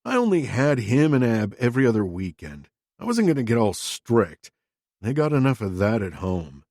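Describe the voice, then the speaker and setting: A man's voice: medium pitch, big pitch swings, moderate pace, a little mellow, no room sound, studio quality. A man voicing a crude, defensive middle-aged father who talks in a blunt, profane, self-justifying way. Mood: gruff, candid.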